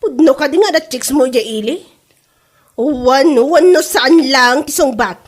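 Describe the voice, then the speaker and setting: A woman's voice: high voice, animated, a little slow. A woman playing an anxious wronged wife in an Ilocano comedy drama, whiny and exclaiming, with an Ilocano accent. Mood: confronting. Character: wronged wife, anxious, pleading with her husband.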